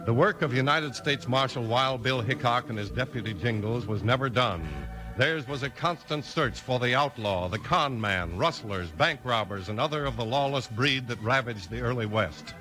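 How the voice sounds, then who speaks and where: A man's voice: medium pitch, moderate pace, few pauses, music in the background, low-quality recording. A man announcing and narrating in a scripted 1950s radio style.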